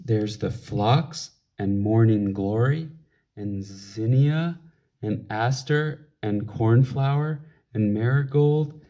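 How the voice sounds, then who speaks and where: Slow, mellow dad in a read-along. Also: male voice, medium pitch. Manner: simple, encouraging talk aimed at a small child. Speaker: parent reading along with a child. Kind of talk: casual picture-book read-along. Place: indoors at home, over a book on a table. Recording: dull, lo-fi home recording.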